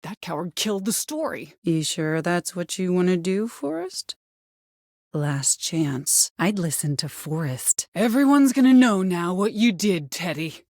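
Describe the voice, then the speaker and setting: An actress playing a vengeful, bitter middle-aged mother in a video game, commanding and threatening, with deliberate delivery and cold control. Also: lowish voice, expressive, a little mellow, no echo, studio quality. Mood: cold menace. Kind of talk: dramatic video game voice acting.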